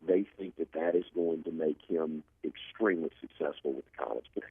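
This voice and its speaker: A man with a very deep, rough-edged voice gives sports analysis as a guest. He makes his points emphatically and restates them. The sound is narrow, like a telephone, and quite dry. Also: brisk, no echo. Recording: narrow phone-like line.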